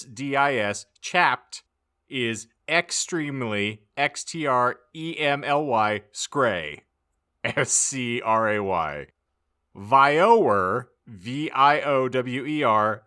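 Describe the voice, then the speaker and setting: A man's voice: mid-range voice, bright, forceful tone. A man reading fan fiction aloud as comic audiobook narration, theatrical and mock-serious. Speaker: podcast host reading an audiobook.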